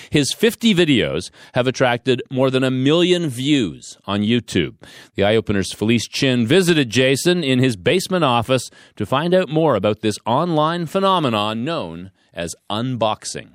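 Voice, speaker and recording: A male radio host reads his scripted lines with an animated, rising and falling delivery. His voice sounds dry and close to the microphone. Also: medium pitch, no echo.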